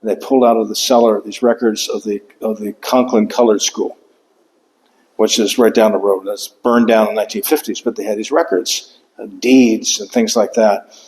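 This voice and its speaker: An older man giving an author talk in a lecture room, speaking haltingly with many fillers and restarts. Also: lowish voice, fairly even intonation, a little mellow. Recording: long hall reverb.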